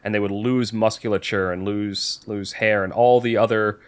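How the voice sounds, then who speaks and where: A man co-hosting a podcast, casually discussing a book and thinking aloud in a halting way. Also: lowish voice, steady tone, clear, resonant tone. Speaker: podcast co-host.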